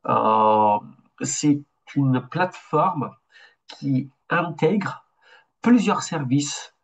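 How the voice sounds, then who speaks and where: A man in his forties from Italy with an Italian accent, a bit ill, presenting a webinar as a consultant and speaking haltingly with many hesitations. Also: fairly high pitch, lively intonation, gravelly, rough recording. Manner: halting, with frequent hesitations and restarts. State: slightly ill.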